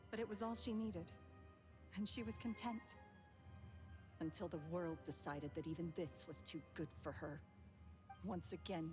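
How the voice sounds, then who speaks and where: Woman acting a low, bitter, cunning lady thief. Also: close to an everyday voice. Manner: measured, deliberate storytelling. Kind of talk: dramatic video game voice acting. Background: game music.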